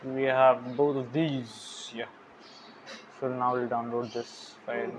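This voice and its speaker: Quiet young man, flat and mumbling. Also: takes it slowly. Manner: quiet, low-key, thinking aloud with trailing mumbles. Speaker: programming tutorial host. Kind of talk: casual screen-recorded coding tutorial. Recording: dull lo-fi mic with clear background hiss.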